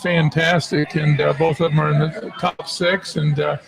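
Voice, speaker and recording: The older male coach with the lightish, high voice, praising players in halting phrases during a sports chat at a busy restaurant. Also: level tone, creaky. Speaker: tennis coach, show guest. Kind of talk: casual chat. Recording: crowd chatter behind.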